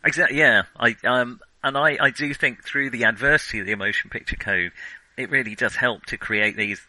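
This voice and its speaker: Male film podcast host, very bright forceful voice, dry close sound, halting and digressive, nerdy enthusiast. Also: mid-range voice, no echo, low-quality recording. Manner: hesitant, digressive, thinking aloud; self-confessed nerdy enthusiasm. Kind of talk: casual film discussion.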